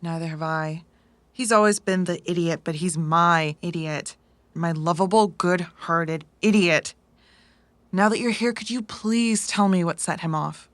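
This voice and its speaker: Low-pitched, sardonic cyberpunk runner. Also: female voice, fairly even intonation, a little slow, a bit bright, no echo. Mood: fond, worried. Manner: dry, frank. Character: blunt, sardonic female cyberpunk runner, fond but skeptical. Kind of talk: audio drama acting.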